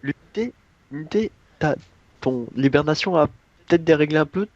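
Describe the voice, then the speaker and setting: A man's voice: a little high, expressive, moderate pace, no room sound. Muffled male game master. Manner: casual, matter-of-fact rulings. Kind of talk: improvised tabletop game narration.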